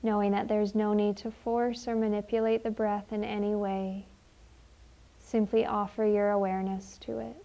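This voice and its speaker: A woman leading a guided meditation in a gentle, unhurried, soothing manner with long pauses. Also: mid-range voice, level tone, creaky, highs cut off.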